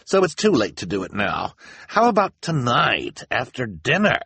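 A man's voice: mid-range voice, wildly swooping pitch, moderate pace, gravelly, a bit bright, breathy edge, no echo, pitched above the performer's own voice, full character voice. A man voicing a stern, self-righteous town elder who declaims pompously in an audiobook story.

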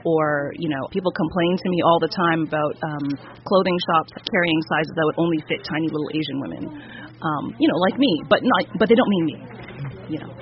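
A Canadian woman, a novelist, answering interview questions in a thoughtful conversational way with frequent pauses and hesitations. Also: low-pitched. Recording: muffled radio audio, faint hiss.

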